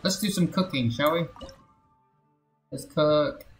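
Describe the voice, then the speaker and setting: Echoey, slow-paced playful male game streamer. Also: fairly high pitch. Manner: playful, muttering to himself and chat in short exclamations. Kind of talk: casual live gameplay commentary. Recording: roomy echo with game music behind. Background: video game music.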